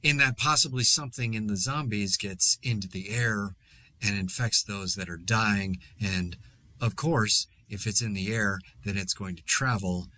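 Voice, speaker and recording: A man plays an exasperated survivor podcasting through a zombie outbreak. His voice sits a little low, with a bright, forceful edge. He rambles conversationally in a dry, sarcastic way, as in a fictional solo podcast. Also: a little slow. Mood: wry, weary. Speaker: podcast host.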